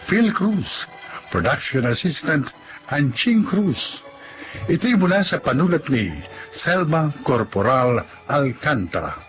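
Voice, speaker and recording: A man announcing with scripted, dramatic narration for a radio drama. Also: mid-range voice.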